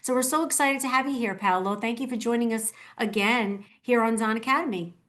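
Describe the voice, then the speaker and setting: A woman's voice: fairly high pitch, fairly quick, fairly bright tone. A woman speaking as the host of a webinar, introducing a guest and addressing attendees.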